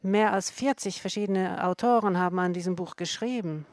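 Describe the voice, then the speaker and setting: Low-voiced woman explaining scripture slowly. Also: darkish tone, no room sound, clean studio sound. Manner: slow, careful, with frequent self-corrections. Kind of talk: earnest explanation of faith.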